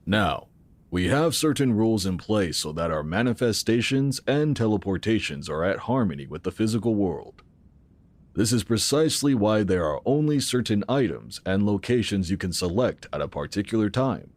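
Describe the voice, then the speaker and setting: An actor playing a calm, assured founder of a futuristic tech organization, explaining in a measured way in an audio drama. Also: male voice, on the low side. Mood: explanatory, assured. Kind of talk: scripted audio drama acting.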